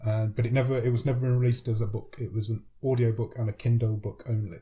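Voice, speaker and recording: A man with a head cold talks quickly through podcast news in a mellow, darkish tone. He chats casually and laughs easily with his co-host. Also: mid-range voice, little pitch movement. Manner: chatty, laughs often, rattles off news. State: has a cold. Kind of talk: casual chat.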